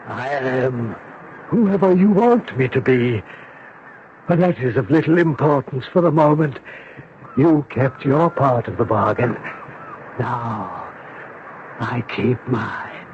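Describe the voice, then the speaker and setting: An actor playing a supernatural giant servant, formal, measured and deferential, in a 1970s radio drama. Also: male voice, medium pitch, a little hiss or hum, lightly acted. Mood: solemn, imperious. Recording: roomy, muffled 1970s radio broadcast.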